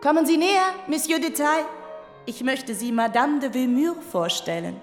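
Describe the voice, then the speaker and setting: An actress playing a gracious aristocratic princess in a radio drama, talking like a polite, effusive society hostess. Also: female voice, sits high, animated, moderate pace. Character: gracious aristocratic princess hosting a grand soirée. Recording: long hall reverb, music behind.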